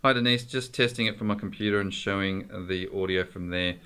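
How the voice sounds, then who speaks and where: Low-pitched man giving an informal software walkthrough. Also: brisk, smooth. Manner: explanatory, informal, addressing viewer directly. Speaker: tutorial presenter.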